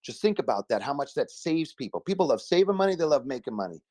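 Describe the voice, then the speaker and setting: A man's voice: mid-range voice, sing-song, fast, darkish tone, dry recording, clean studio sound. A man, a guest investor giving a casual, emphatic and folksy endorsement over a video call.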